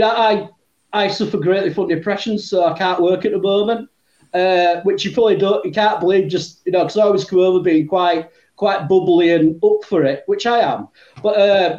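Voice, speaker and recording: A man's voice: high-pitched, a little mellow. A man with a Yorkshire accent, chatting as a podcast guest, rambling with lots of fillers and the odd swear word. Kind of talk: casual chat. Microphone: earbuds. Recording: echoey room, dull lo-fi earbud mic.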